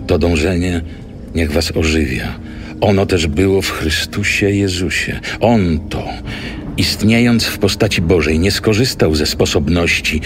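A man recites scripture solemnly in an extremely deep voice. His delivery is very slow and measured, with music clearly behind him. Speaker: reader of scripture.

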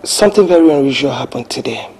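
A Nigerian woman acting a calm, reasoning wife in a domestic film drama, measured and soothing. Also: rumbling low, husky, exaggerated voice. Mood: thoughtful.

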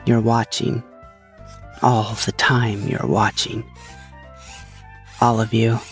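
A woman's voice: rumbling low, level tone, takes it slowly, soft background music. A woman playing a sweetly paranoid, unhinged ex-wife in an audio drama, rambling in a theatrical, sing-song way. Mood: unhinged, taunting.

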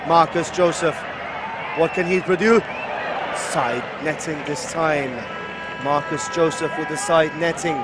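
High-pitched male football commentator over crowd noise. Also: a little slow. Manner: excitable, rising to shouts at chances. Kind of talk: live football play-by-play commentary. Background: stadium crowd roar.

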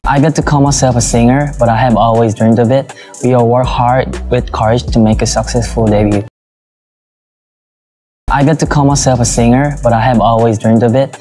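Earnest young man, dark mellow tone. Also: mid-range voice, fairly even intonation, moderate pace, soft background music. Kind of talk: short English clip, repeated.

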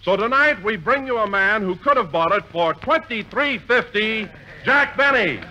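A man announcing and selling a product in a 1940s radio comedy, hearty and smooth, recorded in a theater. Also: lively intonation, normal speed, heavy background noise. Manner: hearty, smooth salesman pitch. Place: theater stage with live audience.

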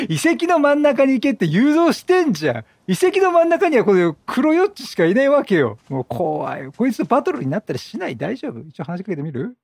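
A man doing excitable, chatty game commentary, reacting aloud and bantering with the characters. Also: very high voice, fairly quick, vocal fry, few pauses, husky, no room sound. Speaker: gaming streamer, let's play host.

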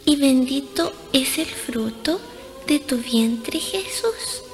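A woman playing a gentle, devout little girl in a radio drama, speaking in a hesitant, childlike way. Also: sits high, expressive, slow, faint music behind, full character voice. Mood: reverent. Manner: hesitant, childlike, repeats word starts.

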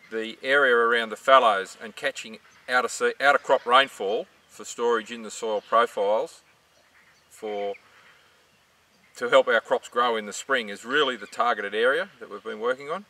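A man's voice: mid-range voice, steady tone, moderate pace, pushed and bright. A middle-aged man with an Australian accent, giving an informative explanation to camera as a farm researcher. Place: outdoors in open dry farmland. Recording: distant mic, outdoor noise.

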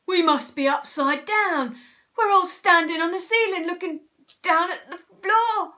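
A woman voicing a foul-tempered married man from a children's story, blustering and shouting indignantly. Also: very high-pitched, a little slow, voice pushed up, heavily acted. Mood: alarmed.

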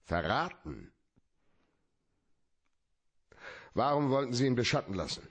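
An actor playing a hard-boiled, cynical private detective in a German radio play, terse and dryly probing. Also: male voice, mid-range voice, very animated, fairly quick, a bit bright. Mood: probing, sardonic.

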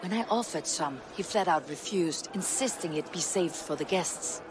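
Woman acting a stern husky Viking warrior. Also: lowish voice, normal speed, background noise, natural voice. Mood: serious. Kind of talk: video game dialogue acting.

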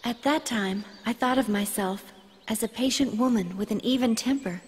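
A woman's voice: fairly high pitch, moderate pace, a little hiss or hum, close to an everyday voice. A woman playing a bossy, playful, self-assured schoolgirl in an anime audio drama. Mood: wry reminiscing. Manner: playful, theatrical, teasing. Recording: music behind the voice.

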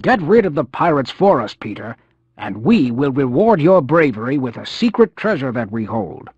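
Very husky, solemn chief. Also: male voice, fairly high pitch, very animated, a little mellow, dry recording, full character voice. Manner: stately, formal, measured phrasing. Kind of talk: cartoon video game acting. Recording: dull, lo-fi game audio.

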